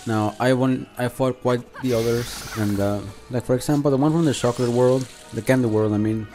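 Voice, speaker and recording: Male game channel host, relaxed dark tone, halting conversational delivery, music playing underneath. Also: mid-range voice. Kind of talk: casual unscripted gameplay commentary.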